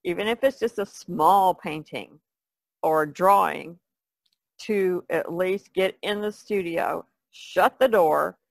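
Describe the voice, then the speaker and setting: An American woman chats casually as a podcast co-host. She speaks slowly with a gravelly creak in her voice, and the sound is dry. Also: lowish voice, no room sound. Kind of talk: casual chat.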